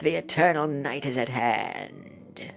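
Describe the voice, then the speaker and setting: A woman voicing an imperious, evil dark enchantress from a game, with slow, theatrical delivery. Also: rumbling low, lively intonation, creaky, a little hiss or hum, exaggerated voice. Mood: sinister, commanding.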